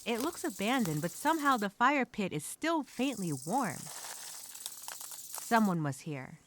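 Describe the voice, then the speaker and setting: A woman playing an anxious stranded castaway, speaking a dramatic, halting, repetitive audio journal with expressive sighs. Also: mid-range voice, a little hiss or hum. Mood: relieved, shaken. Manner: expressive, halting, sighing, repeats herself. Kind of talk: dramatic first-person audio journal monologue.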